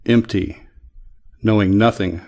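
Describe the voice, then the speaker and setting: A man reads poetry aloud. His voice sits somewhat low, and he takes the lines very slowly. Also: fairly even intonation, gravelly, a little mellow. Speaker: poetry reader. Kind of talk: poetry recitation.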